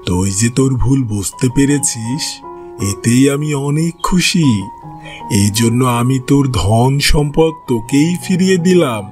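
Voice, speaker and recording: A man voicing a stern old holy man in a fairy-tale cartoon, measured, admonishing and authoritative. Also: mid-range voice, lively intonation, darkish tone, faint music behind, voice pushed down, lightly acted. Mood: forgiving, advising.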